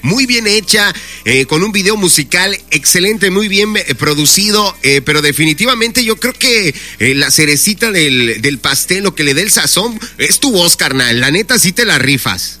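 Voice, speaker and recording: A man's voice: high voice, animated, moderate pace, pushed and bright. A man with a Mexican accent hosting a radio interview, playful and full of slang. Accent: Mexican Spanish. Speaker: radio host.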